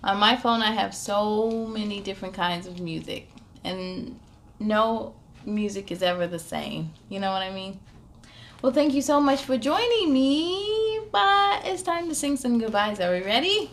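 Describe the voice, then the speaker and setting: A woman's voice: mid-range voice, expressive, unhurried. A young woman hosting a children's read-aloud in a casual, encouraging way, often putting questions to the listener. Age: young adult. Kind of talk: casual, child-directed story time chat. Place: small furnished room at home, indoors.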